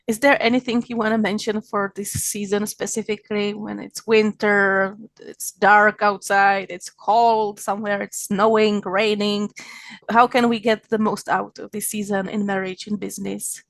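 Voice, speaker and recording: Czech-accented woman, halting and friendly. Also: medium pitch, flat delivery, gravelly, no echo. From Czech Republic. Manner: warm, slightly halting non-native English with fillers. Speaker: guest, magazine founder. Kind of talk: casual interview chat.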